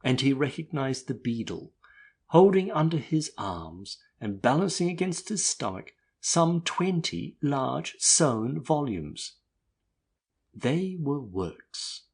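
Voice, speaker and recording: A man narrating a classic novel as a dramatic audiobook, with a husky voice. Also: mid-range voice, very animated, slow, a little mellow.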